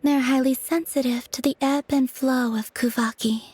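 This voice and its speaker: A woman voicing a weary, gentle young moon goddess for a video game, speaking softly and breathily in a solemn, wistful way. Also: sits high, fairly even intonation, natural voice. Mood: quiet, tired. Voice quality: soft, breathy. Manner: slow, wistful, solemn delivery. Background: background music.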